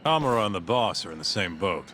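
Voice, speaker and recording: A man's voice: low-pitched, big pitch swings, fast talker, pushed and bright, a little hiss or hum, heavily acted. An actor playing a brash, streetwise tough guy in a video game, blunt and colloquial. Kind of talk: video game cutscene acting.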